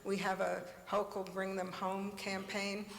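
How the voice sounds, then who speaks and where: A woman's voice: mid-range voice, monotone, a little slow, vocal fry, slight background noise. Passionate woman in her seventies, echoey hall. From United States. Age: 70s. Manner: passionate, blunt, with laughs and asides. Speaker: panelist. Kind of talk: conference panel talk. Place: large conference hall. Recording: echoey hall with long reverb.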